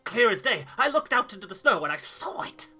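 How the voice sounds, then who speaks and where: Man playing a frantic, breathless, high-pitched crewman. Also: big pitch swings, fairly quick, bright, forceful tone, soft background music, heavily acted. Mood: frantic, insistent. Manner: stammering, breathless, exclaiming. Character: excitable, panicky male crewman convinced he saw a monster.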